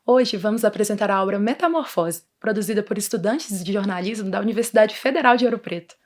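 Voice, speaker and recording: A woman's voice: medium pitch, quick pace. Upbeat woman presenter. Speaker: program presenter. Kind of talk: scripted narration.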